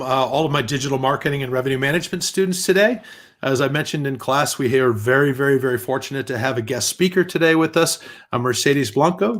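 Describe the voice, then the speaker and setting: Man, professor, hosting an online class, halting delivery, lots of fillers. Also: medium pitch. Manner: hesitant, many "uh" fillers. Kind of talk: class introduction.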